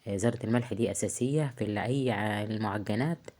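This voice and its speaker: A woman with an Egyptian accent, hoarse from a heavy cold, chatting casually through a cooking tutorial in her home kitchen, halting often with drawn-out filler sounds. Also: rumbling low, a little mellow. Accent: Egyptian Arabic. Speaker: home cook vlogger. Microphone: phone.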